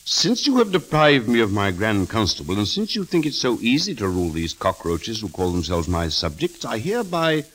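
An actor playing a sly, imperious old king in a 1930s radio drama, speaking theatrically with drawn-out mockery on a hissy, crackly recording. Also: lowish voice, big pitch swings, a bit bright. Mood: wry, regal. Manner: theatrical, deliberate, drawn-out and mocking.

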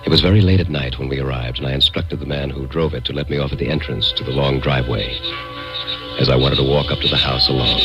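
Very deep-voiced haunted radio narrator. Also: male voice, steady tone, dull audio. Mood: eerie suspense. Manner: brooding, deliberate, formal storytelling. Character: haunted, guilt-ridden male narrator of a suspense tale. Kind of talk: vintage radio suspense drama narration. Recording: old radio recording with music.

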